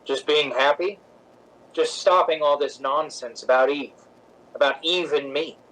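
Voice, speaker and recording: A man performs a weary, loving boyfriend in a 1950s-style radio play. His voice sits a little high. He speaks frankly and firmly, with dry wit. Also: steady tone, measured pace, a bit bright, low-quality recording. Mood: weary, resigned.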